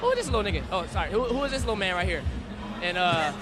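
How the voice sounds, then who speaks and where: A young-sounding man rattling off his answers at a very fast clip, his voice high and playful, full of slang. Loud music thumps behind him. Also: lively intonation, a crowd in the background. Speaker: guest fighter. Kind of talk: casual interview. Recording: music playing loudly behind.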